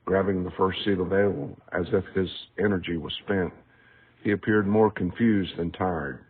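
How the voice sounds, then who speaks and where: A man's voice: deep voice, fairly even intonation, moderate pace, slightly rough. A man reading aloud from his own book in a scripted audiobook narration style, recorded with a tinny, narrow sound. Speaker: author reading a book excerpt. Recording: tinny, phone-like narrow band.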